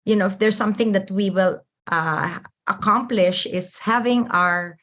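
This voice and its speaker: A woman in her sixties, a podcast guest, speaking warmly and admiringly with many restarts. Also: mid-range voice. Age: 60s. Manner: warm, admiring, halting with fillers. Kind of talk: casual podcast conversation.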